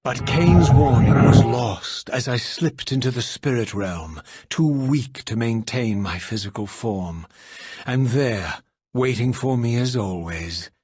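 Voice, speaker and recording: An actor voicing a tormented, fatalistic male wraith narrating a video game cutscene in a solemn, portentous, measured way. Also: on the low side, darkish tone, slightly rough, no room sound, studio quality, heavily acted. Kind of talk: scripted dramatic game narration.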